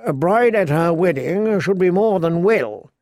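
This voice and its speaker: A man's voice: on the high side, measured pace, no room sound, clean studio sound, pitched above the performer's own voice, close to an everyday voice. Man acting a gallant young knight, lifted courtly voice. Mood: gently concerned. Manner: courtly, gentle, earnest. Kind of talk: audiobook character voice.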